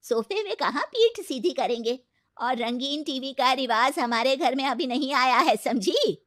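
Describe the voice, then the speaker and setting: A woman as stern older mother-in-law, bright forceful voice, high pitch, commanding, cartoon moral story. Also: lively intonation, a little slow. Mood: scolding. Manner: scolding, emphatic, commanding. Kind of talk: animated Hindi moral story dialogue.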